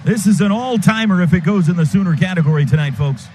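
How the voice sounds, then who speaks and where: High-pitched man calling football live. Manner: excited, clipped rapid-fire calls. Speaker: radio play-by-play announcer. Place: stadium radio booth. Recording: noisy stadium broadcast booth.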